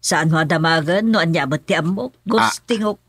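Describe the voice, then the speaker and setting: A woman's voice: on the low side, moderate pace, fairly bright tone, dry recording. An actress playing a queen and mother in a comic Ilocano radio drama, exclamatory and questioning, with an Ilocano accent. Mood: querying. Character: queen, king's wife and mother of a princess.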